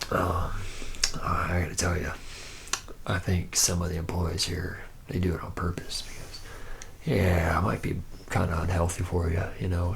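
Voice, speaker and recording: A sleazy, world-weary factory hand, played by a man, mutters through an ASMR roleplay in a deep voice full of gravelly fry. He rambles and hesitates with sly, sardonic asides, often sinking close to a whisper. Noise sits behind the voice. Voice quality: gravelly, low, partly whispered. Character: jaded, boozy, sleazy factory worker training a recruit.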